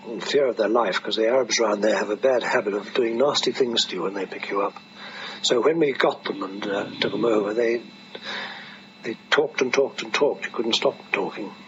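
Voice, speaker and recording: An older man, a veteran being interviewed, recalls his service in a halting, hesitant manner. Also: on the low side. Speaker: air force veteran interviewee. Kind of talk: reminiscing in an interview. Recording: noisy, muffled archive interview tape.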